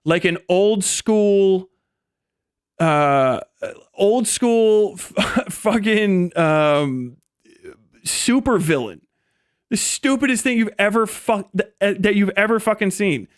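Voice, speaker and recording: Profane, rambling man with a higher-pitched clear voice. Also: lively intonation, slow pace, no room sound, studio quality. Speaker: streamer telling stories from military service. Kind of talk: casual livestream storytelling.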